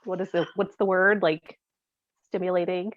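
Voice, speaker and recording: A woman's voice: fairly low pitch, steady tone, normal speed, dry recording. A woman co-hosting a podcast in casual, comedic conversation, with a joking, bantering way of talking. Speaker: podcast co-host. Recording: dull, boxy, dry lo-fi audio.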